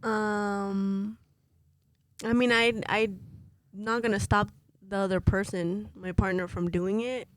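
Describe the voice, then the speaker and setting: A woman's voice: medium pitch, steady tone, slow pace, no echo. A woman co-hosting a podcast, hesitant at times and playfully teasing in casual chat. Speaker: podcast co-host.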